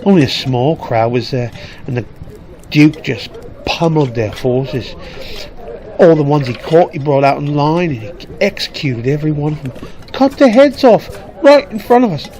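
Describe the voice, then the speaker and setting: A man playing a gruff, hearty, brandy-loving laborer in a fantasy radio drama, talking in a chatty, colloquial way. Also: a little high, animated, normal speed, a little mellow, close to an everyday voice, low-quality recording. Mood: grim gossiping. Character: gruff working man hauling grain sacks, hearty, fond of brandy. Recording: music under the voice.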